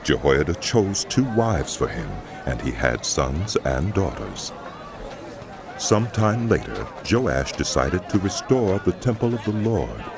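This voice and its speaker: A man narrating a dramatized audio Bible in a solemn, measured storytelling style. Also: low voice, sing-song, crowd noise, noisy background. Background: background music.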